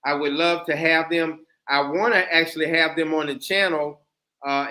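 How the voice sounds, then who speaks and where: A man's voice: on the high side, sharp tone, rough recording. A man in his thirties hosting a livestream, chatting loosely and joking with guests. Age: 30s. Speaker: channel host. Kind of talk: casual livestream chat.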